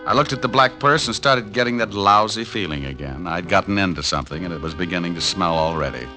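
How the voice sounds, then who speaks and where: Casting: wry noir gumshoe, low sharp delivery, wisecracking, old radio show with music. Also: male voice, expressive, quick pace. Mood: wry, weary. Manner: wry, hard-boiled, flippant. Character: wisecracking, cynical male private detective. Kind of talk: old-time radio noir acting and narration.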